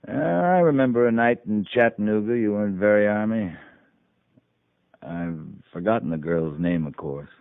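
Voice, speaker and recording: A man's voice: fairly low pitch, normal speed, clean tone, lightly acted. A man playing a seasoned, blunt army captain in a 1950s radio western, speaking in a terse, controlled way. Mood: dry, relaxed. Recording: muffled 1950s radio broadcast, roomy echo.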